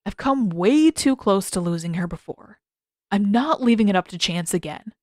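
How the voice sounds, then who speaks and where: A woman voicing a cocky, teasing teenage boy who protects his own, in animated, sarcastic audiobook banter. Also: mid-range voice, normal speed, no room sound, clean studio sound, lightly acted. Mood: protective. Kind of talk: audiobook character voice.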